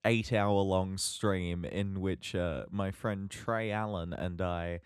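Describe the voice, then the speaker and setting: A man co-hosting a podcast, chatting casually in a halting, wordy, measured way. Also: low-pitched, fairly even intonation, smooth, no echo, clean studio sound. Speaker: podcast co-host.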